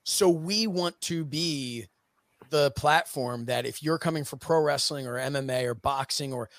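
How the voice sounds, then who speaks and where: A man's voice: fairly high pitch, vocal fry, a bit bright, no room sound. A man in his late thirties, a guest on a casual podcast, explaining his plans earnestly and at length with many "you know"s. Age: 30s. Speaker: guest, streaming network executive.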